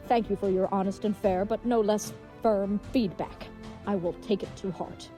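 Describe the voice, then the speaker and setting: A woman's voice: mid-range voice, fairly even intonation, moderate pace, mellow, faint music behind, close to an everyday voice. A woman playing a gallant, dim and earnest leathersmith turned would-be knight, with pompous, flowery speech in a comedic audio drama. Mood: bold, boastful.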